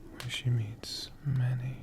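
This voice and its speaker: A man playing a friendly, bookish dragon who tells stories in a soft, breathy ASMR whisper, gently lingering over words. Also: medium pitch, level tone, slow, background noise, lightly acted. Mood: gentle, musing. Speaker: ASMR host.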